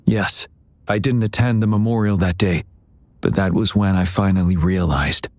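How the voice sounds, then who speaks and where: An actor voicing a solemn young military general in a fantasy video game, speaking in a measured, earnest and formal way. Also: male voice, low voice, fairly even intonation, dry recording, lightly acted. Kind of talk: scripted video game dialogue.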